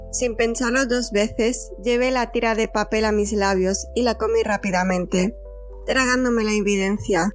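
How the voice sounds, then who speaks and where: A woman's voice: on the high side, lightly acted. A woman playing a scared, determined young captive, narrating an audio drama in the first person.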